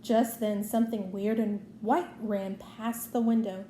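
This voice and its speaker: A woman's voice: mid-range voice, flat delivery, a little slow, dark tone. A woman reading a children's story aloud as narrator. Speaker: storybook reader. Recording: roomy echo, faint background noise.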